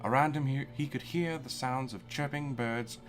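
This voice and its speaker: A man's voice: mid-range voice, normal speed. A man narrating a fan-fiction story aloud for laughs, often breaking into giggles while reading.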